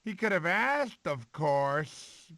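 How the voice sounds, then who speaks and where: A man voicing a chatty, dramatic cartoon prehistoric animal who tells stories to a child in a theatrical, expressive way. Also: a little high, slow, a little hiss or hum, full character voice. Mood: dramatic storytelling. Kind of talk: animated film voice clips.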